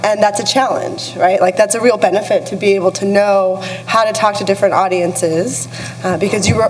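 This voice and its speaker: A woman from Texas speaking as a panelist at a live event, reflective and pausing often to restart her thoughts. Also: medium pitch, slight background noise, poor audio. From El Paso, Texas. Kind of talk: panel discussion.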